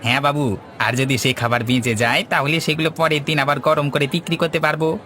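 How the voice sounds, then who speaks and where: A man's voice: a little high, little pitch movement, fairly quick, pushed and bright, a faint crowd, slight background noise. A man voicing an eager, crafty, servile hotel servant in a cartoon, in an obliging, fawning way. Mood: fawning, sly. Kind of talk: cartoon dub. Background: music.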